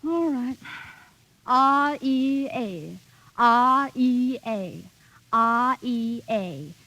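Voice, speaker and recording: Young female acting student: high voice, theatrical, headstrong, vintage drama delivery. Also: lively intonation, very slow, pushed and bright, heavily acted, low-quality recording. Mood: eager, probing. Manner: theatrical, emphatic. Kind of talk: old film or radio drama acting.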